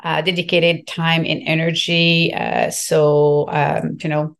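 A middle-aged woman from Quebec with a French accent, speaking reflectively as a podcast guest and often filling pauses with "like, you know". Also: deep voice, flat delivery, vocal fry, fairly bright tone. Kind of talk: podcast interview conversation.

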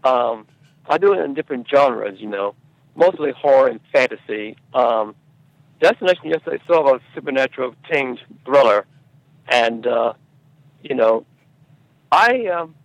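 Male screenwriter guest, halting delivery with fry, muffled low-fidelity recording. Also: animated, dry recording. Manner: halting, repeats words and phrases. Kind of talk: conversational interview answers.

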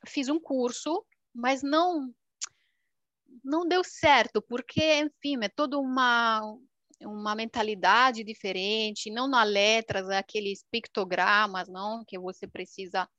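A young woman from Romania, a native Romanian speaker with a Romanian accent, chatting casually in an expressive, animated way. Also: a little high, measured pace, no room sound, highs cut off. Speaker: language video creator. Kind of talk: casual chat.

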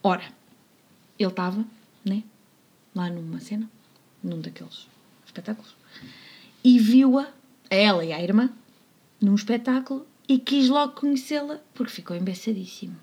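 A woman with a European Portuguese accent, co-hosting a podcast and telling a history story in a playful, jokey way, slipping into quiet asides. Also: mid-range voice, animated, moderate pace, pauses often, husky. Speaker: podcast co-host. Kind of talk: casual storytelling about history.

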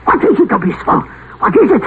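A man's voice: very high-pitched, wildly swooping pitch, brisk, full character voice. A thin, hissy vintage radio recording carries a rich old uncle. He is hot-tempered and afraid of dying, blustering and stammering in melodramatic fashion, with a voice that swings up and down. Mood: frantic pleading. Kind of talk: 1950s radio drama acting. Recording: crackly, narrow-band 1950s radio broadcast.

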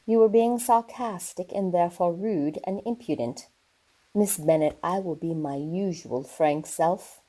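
Woman playing a husky, imperious aristocratic lady. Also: lowish voice, unhurried, warm tone, rough recording. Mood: indignant, imperious. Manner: haughty, commanding, formal. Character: haughty, imperious older aristocratic lady, frank and disdainful. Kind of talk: radio theater acting.

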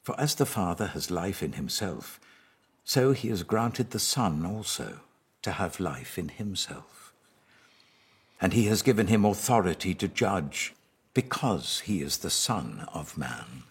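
A man acting out a grave, commanding holy teacher in a scripture reading, with a very deep, husky British voice. He speaks slowly and deliberately, with solemn authority. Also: sing-song, exaggerated voice. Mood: grave, hushed.

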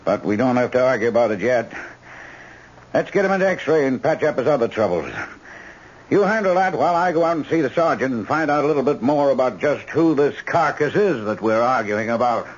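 An actor playing a brisk, decisive hospital surgeon in a radio drama, with a commanding, clipped, pensive way of talking. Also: male voice, medium pitch, lively intonation, close to an everyday voice. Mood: firm, decided. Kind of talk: 1970s radio drama acting. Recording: muffled old radio broadcast recording.

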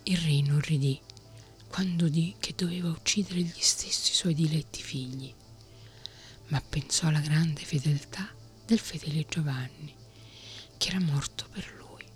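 A woman whispering a fairy tale aloud as a soft ASMR reading. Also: low voice, moderate pace, soft background music. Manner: whispering throughout. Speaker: storyteller.

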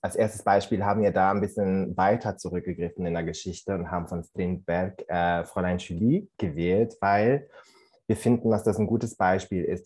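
A man's voice: sits low, a little mellow. A young man from Central Switzerland, co-hosting a student podcast and explaining things with fillers and restarts. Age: young adult. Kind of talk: casual academic discussion.

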